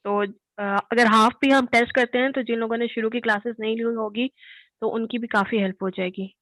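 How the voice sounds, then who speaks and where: A woman's voice: fairly high pitch, steady tone, fairly quick, fairly bright tone, dry recording, low-quality recording. A woman with a Pakistani accent speaking as a student in a casual class discussion, mixing in English words. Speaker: student in an online law class.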